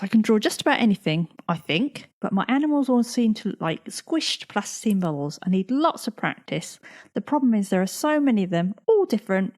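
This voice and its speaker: A woman's voice: fairly high pitch, a little mellow. A woman in her forties, co-hosting a podcast in casual chat, chatty and laughing often. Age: 40s. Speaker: podcast co-host.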